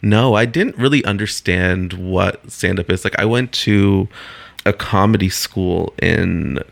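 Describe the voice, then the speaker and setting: A man's voice: unhurried, creaky, dry recording. A man from Canada, a stand-up comedian rambling loosely as a podcast guest. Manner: loose, rambling, acts out quotes in high voices. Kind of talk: casual interview chat.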